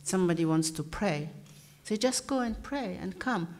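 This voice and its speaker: Woman with halting English. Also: on the low side, expressive, darkish tone. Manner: halting non-native English, searching for words. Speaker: panel guest. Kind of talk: panel discussion answer. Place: public debate hall.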